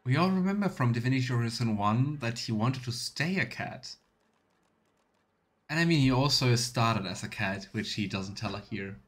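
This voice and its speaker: A man's voice: medium pitch, lively intonation, normal speed. A man streaming a video game with casual, musing commentary, thinking aloud as he plays. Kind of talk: casual let's play commentary.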